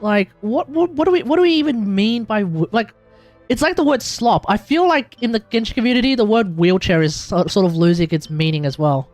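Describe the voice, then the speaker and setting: High-pitched Australian man livestreaming. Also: a little fast, a little mellow. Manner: blunt, rambling, emphatic, slangy, thinking aloud. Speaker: livestreamer, gaming content creator. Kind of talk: casual livestream commentary. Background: music in the background.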